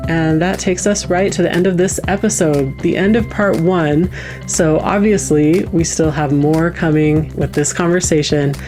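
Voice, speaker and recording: Lady podcast host, lowish voice, casual and candid announcement, music going underneath. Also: normal speed.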